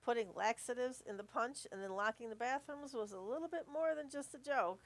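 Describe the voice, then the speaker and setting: A woman's voice: fairly high pitch, brisk, lightly acted. A woman playing a patient but easily exasperated school staffer, polite and admonishing, in an amateur radio play.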